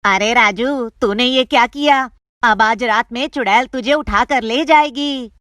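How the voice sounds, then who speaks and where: Woman as an alarmist village friend, sharp voice. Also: on the high side, measured pace, dry recording. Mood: alarmed warning. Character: young village man, Raju's alarmist friend.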